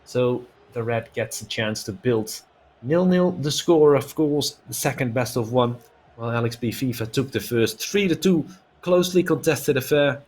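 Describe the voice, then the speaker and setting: A man's voice: mid-range voice, expressive, moderate pace, darkish tone, a little hiss or hum, rough recording. A man giving live play-by-play commentary on a football video game as an esports commentator.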